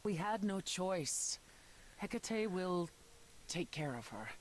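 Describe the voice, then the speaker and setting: An actress playing a grave, world-weary goddess in a dramatic video game, speaking in a measured, sombre, matter-of-fact way. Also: female voice, lowish voice, animated, a little hiss or hum, poor audio. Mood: sombre sympathy.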